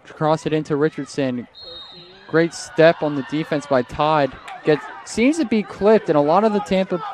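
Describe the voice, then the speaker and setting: A young man doing live play-by-play for a night soccer game outdoors, his voice strained, getting louder on attacks and correcting himself often. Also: on the high side, normal speed. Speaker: play-by-play commentator. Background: crowd noise.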